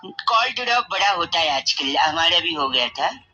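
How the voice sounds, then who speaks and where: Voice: mid-range voice, animated, measured pace, sharp tone, breathy edge, dull audio, heavily acted. A performer voicing a cheeky young male prank caller on the radio, mock-polite, teasing and persistent. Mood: mock-polite, pestering. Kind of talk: comic prank phone call, radio banter.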